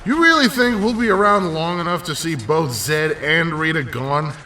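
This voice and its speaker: Man acting an embittered young hero, high and sharp. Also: expressive, moderate pace, recorded from a distance. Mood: weary, doubtful. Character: embittered young male ranger, grieving and worn down by war. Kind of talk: audio drama acting.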